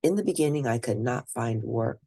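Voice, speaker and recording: Female storybook narrator, gravelly and husky, lively pitch movement, deliberate delivery, close dry recording. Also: no room sound. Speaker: read-aloud narrator. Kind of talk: scripted storybook reading in first person.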